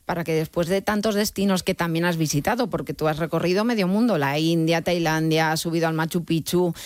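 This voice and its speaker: A woman's voice: on the low side, brisk, dry recording. A woman with a Spain Spanish accent, hosting a conversational interview. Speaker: radio host interviewing.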